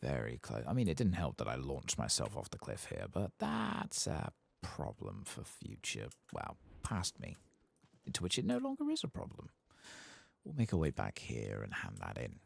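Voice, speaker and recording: Soft husky guy doing asmr game commentary, super low voice, murmuring along. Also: wildly swooping pitch, normal speed. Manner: murmuring to himself, partly whispering. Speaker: video game streamer.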